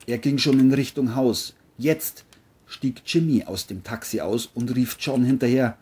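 A man's voice: mid-range voice, measured pace, dark tone, slightly rough. A man reading scripted narration for an audio crime story, as its narrator.

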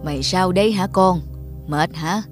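A woman's voice: on the low side, moderate pace, lightly acted. A woman playing a stern elderly cartoon grandmother and mother-in-law who scolds bluntly and frets. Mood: nagging. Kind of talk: cartoon voice acting. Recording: loud background music.

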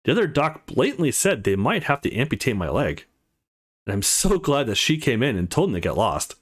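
An easygoing man from a visual novel, voiced by a man reader. He sounds grateful and conversational, with an average pitch and very varied intonation. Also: very animated, brisk, husky.

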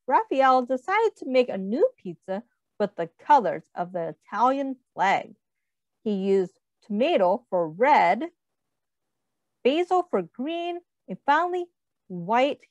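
A woman reading a picture book aloud to young children, expressively, pausing to explain and ask questions. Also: fairly high pitch, no room sound. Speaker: read-aloud host, teacher.

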